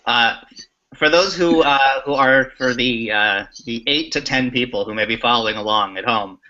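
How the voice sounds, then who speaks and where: Male co-host, middle-aged, forward bright tone, halting dry humour, lo-fi call sound. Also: mid-range voice. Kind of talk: casual hosting talk. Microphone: video call. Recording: dull lo-fi online call audio.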